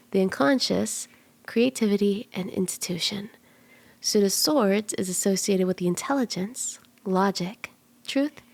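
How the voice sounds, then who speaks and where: A woman voicing a cute, friendly kitsune tarot mystic in an ASMR roleplay, gentle, playful and unhurried, soft-spoken and often slipping toward a whisper. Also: mid-range voice, gravelly, dry recording. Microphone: close ASMR microphone in a dry space.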